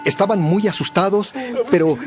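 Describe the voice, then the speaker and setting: A man narrating a dramatized Bible story in a measured, expressive storytelling style. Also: sits high, very noisy.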